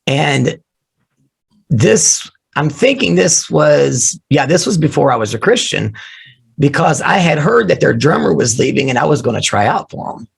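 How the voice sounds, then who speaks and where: A man who is losing his voice, hoarse, telling animated, joking stories about his drumming start as an interview guest on a remote call. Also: fairly high pitch, moderate pace. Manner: animated storyteller, jokey. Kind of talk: casual storytelling interview.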